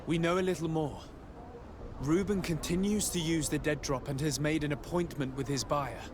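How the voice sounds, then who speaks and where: Game role, male actor: composed young aristocratic legate, articulate and probing, lightly pitched, with noticeable outdoor background noise. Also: steady tone, lightly acted. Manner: formal, measured, articulate. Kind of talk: video game cutscene acting. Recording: noisy outdoor ambience behind the voice.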